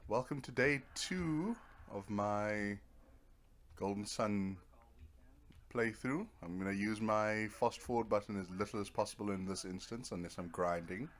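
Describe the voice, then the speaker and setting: A man giving casual, rambling let's play commentary as he streams a video game, half talking to himself with playful little words. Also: on the low side. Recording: clear background noise from nearby TV. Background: Netflix playing in the background.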